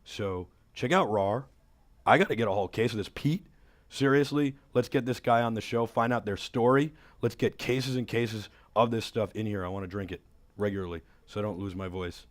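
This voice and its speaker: A man in his thirties hosting a talk show in a studio, hoarse from a cold, talking with upbeat energy into a headset mic. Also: fairly low pitch, normal speed. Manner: energetic, enthusiastic promo patter. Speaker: host. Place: studio with city backdrop.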